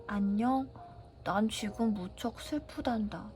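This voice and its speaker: A woman voicing a gentle, lonely young boy prince in a children's storybook reading, with a soft, wistful way of talking. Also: medium pitch, slow, soft background music, close to an everyday voice.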